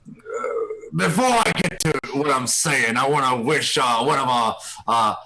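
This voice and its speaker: A man with a gravelly voice, chatting casually as host of a show. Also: mid-range voice, expressive, normal speed, pushed and bright, rough recording. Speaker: host of radio play show. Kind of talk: casual hosting chat.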